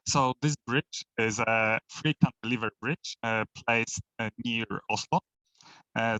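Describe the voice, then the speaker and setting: A man's voice: mid-range voice, pushed and bright, no echo. Polish-born man giving a webinar talk. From Poland. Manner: halting non-native English, frequent uh fillers. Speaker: webinar speaker, bridge designer. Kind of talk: online presentation. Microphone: video call. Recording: crackly voice over video call.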